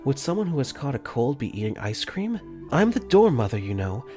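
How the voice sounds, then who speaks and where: A young man voicing an earnest, caring dorm caretaker for a fan dub, gently narrating his own thoughts in first person. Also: medium pitch, very animated, moderate pace, close to an everyday voice. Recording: faint music under the voice.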